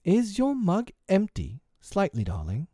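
Man as motherly little girl, storybook, high-pitched, prim, fussy, scolding, slightly tart. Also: huge pitch swings, unhurried, warm tone, slightly rough, no echo, clean studio sound, exaggerated voice.

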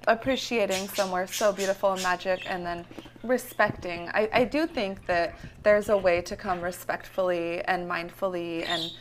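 A woman's voice: medium pitch, steady tone, normal speed, noisy background. A woman from California talking casually about her life and art in an interview, rambling with many fillers and restarts. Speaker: visionary artist guest. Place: her home.

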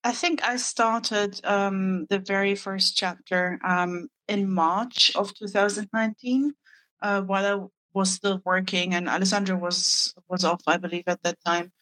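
A woman from Germany, whose first language is German, answering as a book co-author in a measured way, with frequent um fillers. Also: mid-range voice, steady tone, a bit bright, no echo, rough recording. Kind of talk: podcast interview answers.

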